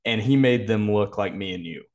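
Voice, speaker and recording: A man with a fairly low voice talks football as a podcast co-host. He explains things in a measured, detailed way, in a casual analytical style.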